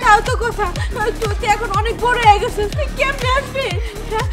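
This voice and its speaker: A woman's voice: very high-pitched, fairly even intonation, brisk. A woman with a Bangladeshi accent playing a distraught, disbelieving village mother in a fairy-tale cartoon, with emphatic, repeated questions. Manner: emphatic, repetitive, full of incredulous questions. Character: distraught village mother, disbelieving, fretting over her son. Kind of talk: melodramatic children's fairy-tale cartoon acting. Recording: loud music behind the voice.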